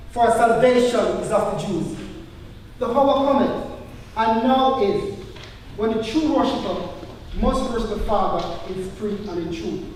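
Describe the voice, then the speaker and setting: A man acts out a solemn, authoritative holy teacher in a live church play, his voice fairly high. He declares archaic scripture from far off in a very echoey hall. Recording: distant echoey hall, faint noise.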